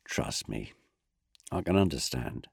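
A man's voice: a bass voice, sing-song, slow pace, gravelly, slightly rough, no room sound, pitched below the performer's own voice, heavily acted. A man voicing a weary, blunt squadron commander.

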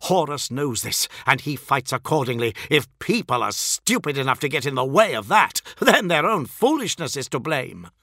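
A man's voice: fairly high pitch, very animated, moderate pace, sharp tone, dry recording, clean studio sound, pitched above the performer's own voice. A man voicing an arrogant, volatile superhuman warrior lord in an audiobook, with a haughty, commanding delivery. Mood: furious. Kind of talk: dramatic audiobook character voice.

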